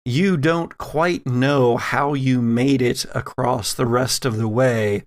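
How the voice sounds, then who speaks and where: A man narrating a tabletop horror game as game master, deliberate and atmospheric, with dramatic pauses. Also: mid-range voice, fairly even intonation.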